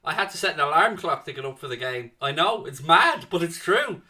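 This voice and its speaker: A man's voice: on the high side, animated, brisk, bright, forceful tone. A young man with a South Dublin Irish accent hosting a football watchalong stream, chatting casually and answering viewers' comments. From Ireland. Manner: chatty, jokey, answers chat with "mate" and "man".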